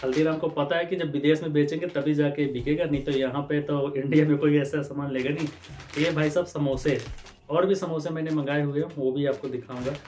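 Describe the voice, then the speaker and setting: A man's voice: on the high side, brisk, a little hiss or hum. A man in his thirties from India, chatting casually and with animation as he unboxes groceries for his vlog, using his hands as he talks. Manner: chatty, animated, gesturing while talking. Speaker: vlogger. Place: indoor room, green screen and purple backdrop.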